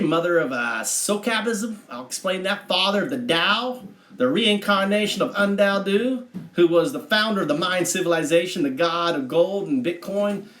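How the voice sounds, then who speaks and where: A brash redneck livestreamer acted out by a man rambles to his chat in a put-on American Southern accent. He sounds folksy, crude and boastful, with a slightly high male voice in an echoing room. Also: fairly bright tone. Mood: boastful, rambling. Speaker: livestream host. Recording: roomy, echoing livestream audio.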